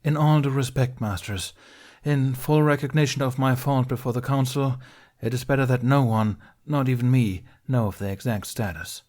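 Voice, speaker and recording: A man voicing a chastened young knight in an audiobook, deferential and subdued, with a quiet stubborn streak. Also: medium pitch, normal speed, voice pushed up, natural voice. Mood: respectfully defiant. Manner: subdued, deferential, brief answers. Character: chastened young male Jedi, meek yet quietly stubborn.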